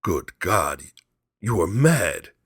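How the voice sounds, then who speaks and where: A frightened old man from a gothic storybook, played by a male reader. The voice is deep and rough, shaking and halting with dread. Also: big pitch swings, dry recording, clean studio sound, heavily acted. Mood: aghast. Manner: faltering, shaking, aghast. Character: frightened, shaken old husband, a grieving father.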